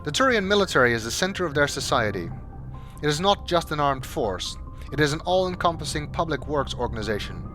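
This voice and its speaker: A man reads scripted, documentary-style narration in a measured, formal, expository manner. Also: a little high, big pitch swings. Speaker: amateur voice-over narrator. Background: background music.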